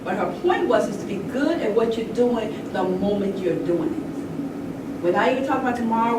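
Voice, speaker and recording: A woman's voice: mid-range voice, background noise. A middle-aged woman giving an impromptu, emphatic public talk, telling stories and preaching a little as she leads a discussion. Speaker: panel speaker leading a community discussion. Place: large echoey indoor venue. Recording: very echoey hall, distant mic, loud music.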